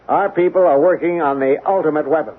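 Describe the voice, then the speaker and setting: A male actor playing an earnest, protective middle-aged colonel in a 1970s radio drama, speaking in a measured, emphatic way with pointed rhetorical questions. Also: on the high side, close to an everyday voice. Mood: grave, explaining. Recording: tinny, band-limited 1970s radio recording.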